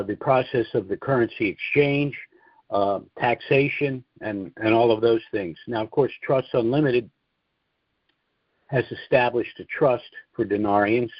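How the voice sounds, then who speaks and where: Guy hosting a conference call, measured explainer talk w opinions, dry muffled lo-fi sound. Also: fairly low pitch, no room sound. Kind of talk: informal monologue commentary and briefing. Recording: dull, lo-fi conference call audio.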